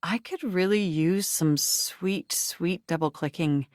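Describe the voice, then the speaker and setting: Flirty, theatrical computer character, close and dry. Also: female voice, on the low side, slow, dry recording. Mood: flirtatious, delighted. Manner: flirtatious, theatrical, formal tech-laden phrasing. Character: sentient flirtatious home computer in a dating game, nerdy and romantic. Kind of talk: video game character voice acting.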